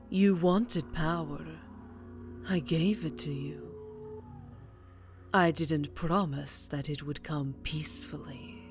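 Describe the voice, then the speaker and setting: Low, slow, cruel vampire mistress, played by a woman. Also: darkish tone. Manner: menacing, mock-tender, deliberate, breathy whispers. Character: cruel, imperious vampire mistress gloating over her witch apprentice. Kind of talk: ASMR fantasy roleplay acting.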